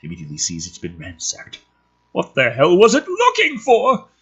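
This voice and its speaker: A man with a slightly rough voice reading a script aloud dramatically, dropping in sardonic, profane asides. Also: high-pitched, huge pitch swings, normal speed, a bit bright, dull audio. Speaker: host reading a film script aloud with commentary.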